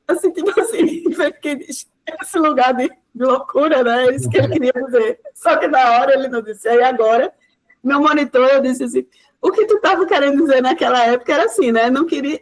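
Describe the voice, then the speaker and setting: A woman's voice: sits high, poor audio. A woman from telling stories in a classroom talk, full of fillers and acted-out quotes. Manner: lively, many fillers, acts out quoted speech. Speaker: guest lecturer. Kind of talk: animated classroom storytelling.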